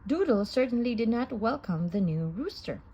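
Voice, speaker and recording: A woman reading a children's story aloud in an expressive, sing-song manner. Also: a little high, normal speed, breathy edge, muffled recording. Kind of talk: children's read-aloud narration.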